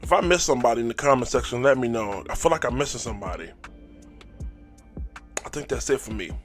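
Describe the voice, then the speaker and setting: A man hosting a sports fan channel, giving a casual game recap in a hoarse, raspy voice, with hyped call-and-response and frequent throat clearing. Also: medium pitch, animated, quick pace, faint music behind.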